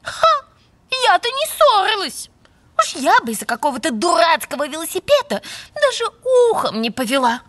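A woman's voice: very high-pitched, huge pitch swings, normal speed, a bit bright, no echo, exaggerated voice. A woman voicing a theatrical, self-pitying winged pig in a cartoon, gushing and plaintive, with music behind her. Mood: huffy. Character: melodramatic, self-pitying winged pig girlfriend, squeamishly dramatic. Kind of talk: animated cartoon voice acting.

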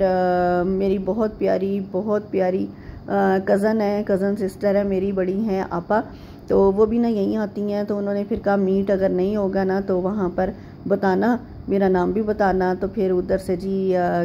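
A middle-aged woman from Pakistan narrating a home vlog in a rambling, chatty way, with frequent pauses and fillers. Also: mid-range voice, warm tone, slight background noise. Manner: homely, chatty tone. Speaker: vlogger. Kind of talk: casual chatty voice-over narration.

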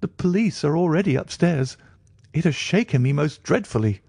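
A shaken, high-strung middle-aged doctor wails out his news in a voice pitched well above the reader's own. A man acts him out in a close, dry audiobook reading. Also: expressive, moderate pace, vocal fry, darkish tone, husky, no room sound, full character voice. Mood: distraught. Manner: breathless, high-strung, wailing.

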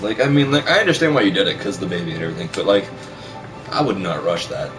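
Crude young man with a lowish voice. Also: big pitch swings, fast, over music. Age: young adult. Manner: crude, profane, teasing. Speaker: vlogger. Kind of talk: casual banter. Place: small bedroom. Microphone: webcam or console camera a short way off.

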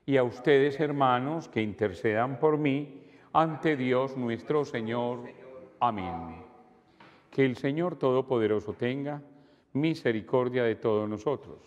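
An older man, a priest, celebrating Mass at a church altar in calm, measured liturgical prayer. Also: medium pitch, lo-fi sound. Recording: long church reverb.

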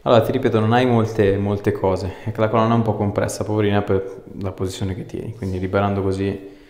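A man's voice: lowish voice, little pitch movement, quick pace, a little mellow. A man, a chiropractor casually instructing and reassuring a patient. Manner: reassuring, informal. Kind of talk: casual hands-on instruction to a patient during treatment. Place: small treatment room, indoors. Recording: echoey small room, background noise.

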